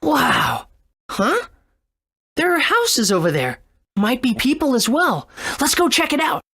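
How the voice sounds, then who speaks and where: A woman's voice: high-pitched, sing-song, a little slow, fairly bright tone, husky, full character voice. A woman voicing an earnest, eager young boy hero in a video game. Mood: curious. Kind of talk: video game character acting and narration.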